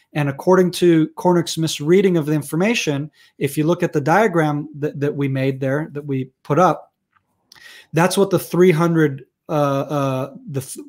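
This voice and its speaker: Passionate higher-voiced man, American accent. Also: a little mellow. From Israel. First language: Hebrew. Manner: passionate, argumentative, many restarts. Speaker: guest researcher. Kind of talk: video call interview. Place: room at home. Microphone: wired earphones on video call.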